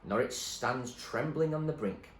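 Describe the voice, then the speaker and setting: Odd old man, voiced by a man, raised pitch, rambling, riddling, roomy echo with faint noise. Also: a little slow, natural voice. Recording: echoey room, faint noise.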